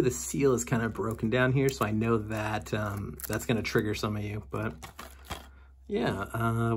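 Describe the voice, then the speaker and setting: A man's voice: mid-range voice, a bit bright, a little hiss or hum. A young man casually talking through the games he brought home, wry and jokey as he goes. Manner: chatty, wry, jokey asides. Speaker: video game collector vlogger. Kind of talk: casual unboxing commentary. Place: indoors at home.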